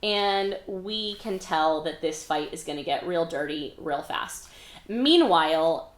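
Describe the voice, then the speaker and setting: A woman's voice: low-pitched, expressive, slow, reverberant. A woman hosting a readalong as its author, recapping the story casually and wryly with dramatic emphasis and some swearing. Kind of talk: casual, animated book recap.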